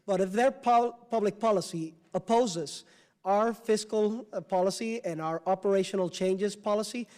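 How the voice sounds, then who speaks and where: Man, high voice, formal testimony, halting, fillers and restarts. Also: darkish tone. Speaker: governor testifying at a hearing. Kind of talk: formal testimony, answering questions. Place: congressional hearing room.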